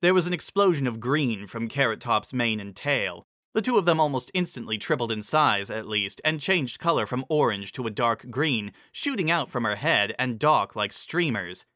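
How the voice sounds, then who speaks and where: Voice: medium pitch, lively intonation, normal speed, few pauses, sharp tone, no echo, studio quality. A narrator giving a dramatic, scripted reading of a fantasy story.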